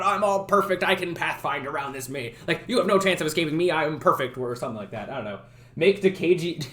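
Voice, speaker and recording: Rambling young man streaming his coding. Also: fairly high pitch. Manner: rambling, self-correcting, thinks out loud. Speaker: game development streamer. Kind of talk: casual live-stream chat while working.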